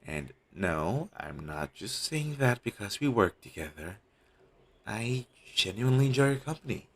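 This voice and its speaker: A man playing a devoted, apologetic talent agent in an audio roleplay, earnest and hesitant, with a husky, gravelly voice on a low-quality amateur recording. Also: big pitch swings, measured pace, a bit bright, exaggerated voice. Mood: sincere, fond. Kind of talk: scripted audio roleplay, intimate one-sided dialogue.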